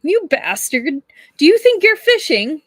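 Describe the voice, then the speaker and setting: A woman's voice: high voice, big pitch swings, measured pace, dry recording, voice pushed up. A woman voicing a shy young merman from a storybook, whimpering and pleading. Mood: playful outrage.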